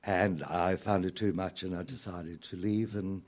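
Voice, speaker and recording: A middle-aged or older man with a South African accent, talking reflectively as a radio interview guest in thoughtful, halting, measured phrases. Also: deep voice, steady tone. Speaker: interview guest, author and lyricist.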